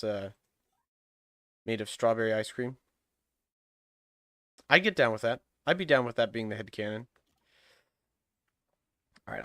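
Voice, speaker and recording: A man's voice: mid-range voice, fairly bright tone, smooth, no room sound. Young guy w a stuffed up nose rambling thru a game stream, um-ing a lot, dry close mic sound. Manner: rambling, thinking aloud with many fillers. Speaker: livestream host. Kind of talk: casual game livestream chat. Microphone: desk microphone.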